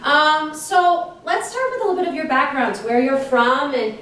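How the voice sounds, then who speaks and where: A woman hosting a live interview in a gushing, animated manner. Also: sits high, moderate pace. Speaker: host. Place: large echoey room with guests on a couch. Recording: echoey room, distant mic, faint noise.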